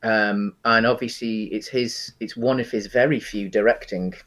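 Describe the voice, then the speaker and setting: A man co-hosting a casual film discussion, speaking in a hesitant, halting way and qualifying his opinions as he goes. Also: lowish voice, fairly even intonation, a bit bright. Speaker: co-host of a video game film discussion show.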